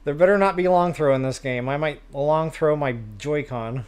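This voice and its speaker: A man's voice: on the high side, moderate pace, a little mellow, smooth. A man hosting a game livestream, chatting casually with viewers in a self-deprecating way. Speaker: live stream host, gamer.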